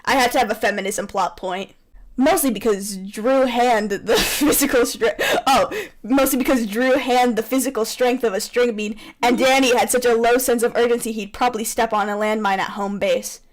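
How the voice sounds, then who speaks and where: A young woman hosting, who reads her own fanfiction aloud to friends with lively asides. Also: fairly high pitch, normal speed. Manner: animated, breaks off into laughter and asides. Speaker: host reading fanfiction aloud. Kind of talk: comedic reading with friends.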